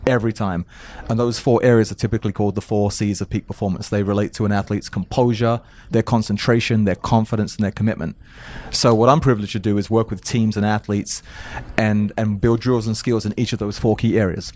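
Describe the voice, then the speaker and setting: A man's voice: lowish voice, fairly even intonation, brisk, darkish tone. A man from South London with a light London English accent, speaking earnestly as a coach interviewed on a podcast, often repeating his first words. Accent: South London English, softened by years in America. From South London, England. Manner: earnest, repeats words when starting sentences. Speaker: mental toughness coach, guest.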